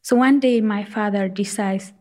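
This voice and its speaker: A young woman from Afghanistan telling her own life story in an earnest, halting way, with frequent fillers. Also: mid-range voice, mellow. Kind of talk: personal storytelling.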